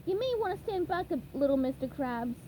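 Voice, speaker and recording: A woman voicing a cheerful, eager young male sea sponge in a storybook reading, high and earnest. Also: lively intonation, a little fast, mellow, slight background noise. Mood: scheming.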